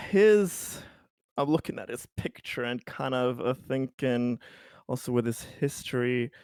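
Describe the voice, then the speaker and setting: A man chatting casually as a player in a tabletop roleplaying game, halting as he searches for words. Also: mid-range voice, expressive, a little mellow, no echo, clean studio sound.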